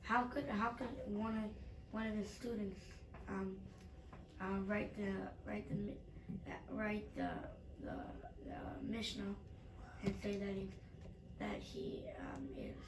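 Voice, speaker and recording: A female student questioning the teacher in class discussion, halting and repeating words, recorded far from the microphone in a noisy room. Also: mid-range voice, lively intonation. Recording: distant mic, noisy echoey room.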